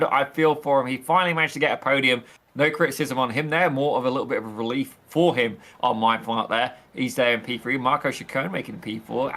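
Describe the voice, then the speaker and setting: A man doing theatrical play-by-play commentary for a sim racing league, addressing viewers as ladies and gentlemen. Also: medium pitch. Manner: excitable, theatrical, keeps saying "ladies and gentlemen" and "indeed". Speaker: lead race commentator. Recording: noticeable background noise.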